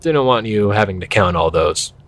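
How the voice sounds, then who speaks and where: An actor playing a skeptical, guarded park ranger in an audio drama, plain-spoken and matter-of-fact. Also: male voice, fairly low pitch, lively intonation, normal speed, a bit bright. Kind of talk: naturalistic audio drama acting.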